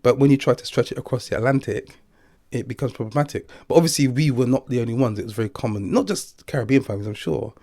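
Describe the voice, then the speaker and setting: Older male interviewee, thoughtful and philosophical, mid-pitched voice, recorded dry. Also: fast, dark tone, no echo. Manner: thoughtful, philosophical, chuckles now and then. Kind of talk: reflective personal interview.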